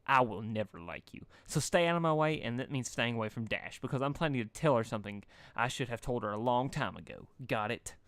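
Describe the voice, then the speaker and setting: A man's voice: mid-range voice, animated, a little fast, hardly any pauses, a bit bright, dry recording. Man acting a snarling, scornful female griffin. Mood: scornful, threatening. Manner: snarling, disdainful. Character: hostile, sarcastic female griffin, scornful bully.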